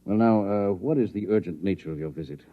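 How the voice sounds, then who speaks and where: An old, narrow-band radio recording carries a head of state. His voice is very deep and deliberate, full of authority with small hesitations, in the manner of a 1950s science-fiction radio play. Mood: businesslike. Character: weighty, decisive president of a future nation. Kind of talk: 1950s radio drama acting. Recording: thin band-limited vintage radio recording.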